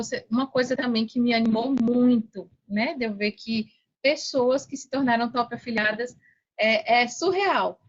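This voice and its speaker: A woman's voice: fairly high pitch, highs cut off. A woman in her forties with a Brazilian accent, talking casually and motivationally as a podcast guest, earnest and emphatic, often repeating phrases and tagging questions on the end. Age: 40s. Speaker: podcast guest, affiliate marketing coach. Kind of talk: casual motivational interview talk.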